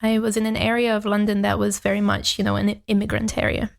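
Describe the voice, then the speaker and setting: A woman's voice: medium pitch, monotone, fast, gravelly. A young woman from Brazil whose first language is Portuguese, chatting reflectively as a podcast guest and laughing often. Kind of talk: casual interview chat.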